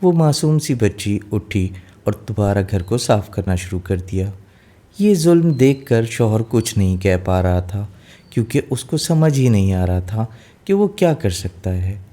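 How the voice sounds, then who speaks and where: A man's voice: on the low side, sing-song, measured pace, mellow. A man narrating a scripted fairy tale as a storyteller.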